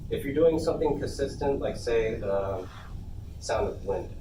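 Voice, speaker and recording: A man giving an informal technical explanation at a workshop in a halting, explanatory way. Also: on the low side, animated, dark tone, far from the mic. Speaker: workshop panelist, audio expert. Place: convention panel room. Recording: echoey noisy room from afar.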